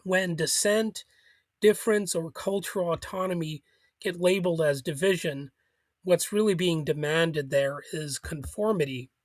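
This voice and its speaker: A man's voice: a little high, a little slow, dry recording. A man hosting a solo political commentary show, arguing critically, with chuckles and small restarts.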